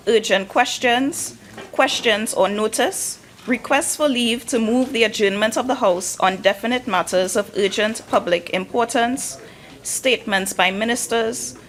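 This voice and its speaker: A woman's voice: fairly high pitch, fairly bright tone. A woman presides as Speaker in a parliament chamber. She reads out procedure in a formal manner, with noise behind her voice.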